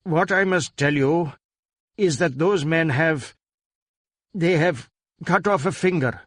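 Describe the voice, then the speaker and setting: A man voicing an anxious, wealthy Indian businessman in a crime story, halting and repeating himself. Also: on the high side, fairly bright tone, dry recording, studio quality, pitched above the performer's own voice. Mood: anguished babbling. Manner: halting, repetitive, incoherent babbling. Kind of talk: dramatized audiobook dialogue.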